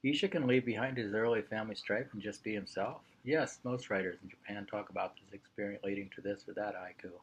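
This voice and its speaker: A man's voice: fairly low pitch, fast, hardly any pauses, slightly rough, rough recording. A man reading an interview aloud in an informal way. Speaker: reader of a printed interview.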